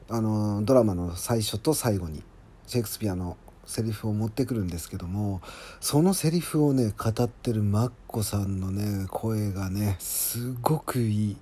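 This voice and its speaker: A man's voice: fairly low pitch, warm tone. A man hosting a solo podcast as its drama's writer, giving casual, chatty, admiring behind-the-scenes commentary. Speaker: podcast host and drama scriptwriter.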